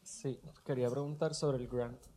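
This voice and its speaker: A man with a soft, small voice, tentatively asking questions as a reporter at a press briefing. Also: medium pitch, fairly even intonation, moderate pace, a little hiss or hum.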